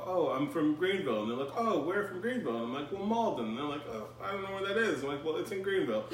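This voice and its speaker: An American man chats casually as a podcast co-host, dropping wry quips in a halting way. He sounds set back from the microphone in a roomy, echoing space with a faint hum. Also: on the high side, sing-song. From United States, moved around, lately South Carolina. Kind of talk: casual joking chat. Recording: roomy echo, distant mic, faint hum.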